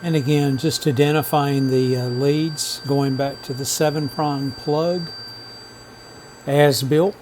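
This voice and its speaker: A man narrating a vintage radio repair as a relaxed how-to voice-over, matter-of-fact and unhurried, with frequent "uh" pauses. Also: on the high side, slight background noise. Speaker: radio restoration hobbyist.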